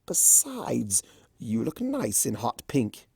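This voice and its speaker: Narrator doing smug theatrical male chimera trickster, teasing, storybook read, pitch all over the place, drags out words. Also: huge pitch swings, vocal fry, dark tone, slightly rough, no echo, clean studio sound, exaggerated voice. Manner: theatrical, teasing, drawn-out emphasis. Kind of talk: storybook character voicing.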